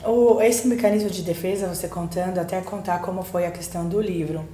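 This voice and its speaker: A woman's voice: lowish voice, fairly even intonation, a little fast, mellow. Woman guest in an echoey room. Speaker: guest psychologist and book co-author. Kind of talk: casual personal storytelling. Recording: roomy echo, faint background noise.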